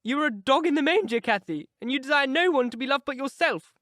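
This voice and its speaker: A lovesick young woman pleads and protests, as a man reading aloud puts her on. The voice sits high, its pitch leaping up and down with feeling. The sound is dry and close. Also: lively intonation, fast, fairly bright tone, dry recording. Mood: petulant. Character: infatuated young woman, passionate and tearful.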